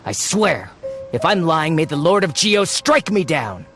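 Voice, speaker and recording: A greedy, animated blustering fraud. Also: male voice, a little high, measured pace, faint music behind. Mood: flustered, defensive. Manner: blustering, stammering excuses. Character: shifty, greedy, boastful male treasure-hunting fake archaeology researcher. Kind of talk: video game voice acting.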